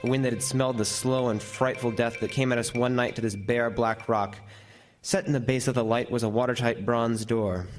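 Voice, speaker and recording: A man's voice: medium pitch, fairly even intonation, faint music behind, lightly acted. A man playing a lighthouse keeper who tells his tale as dramatic first-person narration in old-time radio horror style. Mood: brooding, vivid. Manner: vivid, deliberate storytelling with lingering descriptions. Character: lighthouse keeper recounting a frightful night.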